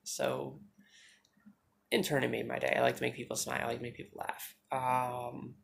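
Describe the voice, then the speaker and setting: A woman vlogging on her phone at home, chatting fast and joking with some swearing, her naturally deep voice held higher. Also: level tone, gravelly, slight background noise. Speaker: YouTuber. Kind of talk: casual storytelling vlog. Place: indoors at home, TV behind her.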